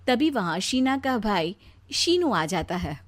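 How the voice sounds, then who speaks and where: A woman's voice: medium pitch, very animated, takes it slowly. A woman storyteller reading a scripted story narration.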